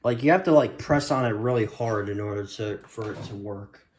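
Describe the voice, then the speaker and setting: A man's voice: fairly low pitch, normal speed, a little mellow. Man rambling casually in an echoey room. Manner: hesitant, rambling, repetitive. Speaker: weather radio hobbyist vlogger. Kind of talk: casual unscripted gadget showcase. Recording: echoey room with background hiss.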